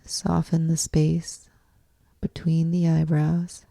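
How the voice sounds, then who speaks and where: Slow, mellow woman guiding deep relaxation. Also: completely flat delivery, gravelly, no echo. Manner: measured, deliberate phrasing with long pauses. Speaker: yoga nidra teacher guiding a meditation. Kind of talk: guided meditation, slow instructional narration.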